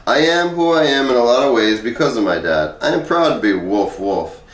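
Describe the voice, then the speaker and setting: A man's voice: mid-range voice, animated, moderate pace. A man reading a humorous first-person essay aloud in a conversational, wry storytelling way. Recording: roomy echo, distant microphone.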